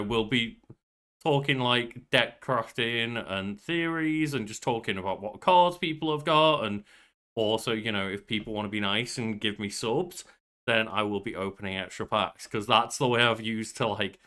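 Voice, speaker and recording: A man's voice: mid-range voice, measured pace, pushed and bright. A young man chatting casually as co-host of a podcast about games. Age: young adult. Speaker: podcast co-host and game streamer.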